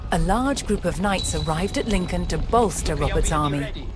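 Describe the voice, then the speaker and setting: A woman delivering scripted, documentary-style campaign narration for a game in a measured, formal storytelling manner. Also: fairly low pitch, animated, music in the background.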